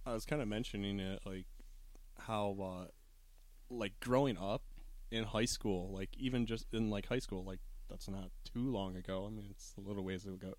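A man's voice: fairly low pitch, fairly even intonation, normal speed, a little mellow, dry recording. A man hosting a casual chat with a DJ guest, laid-back and rambling, laughing often. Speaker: podcast host and DJ.

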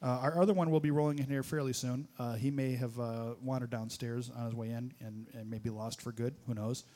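A man's voice: mid-range voice, steady tone, fairly quick, dark tone. A man hosting a casual radio show in a small studio, joking, laughing often and stumbling over his words.

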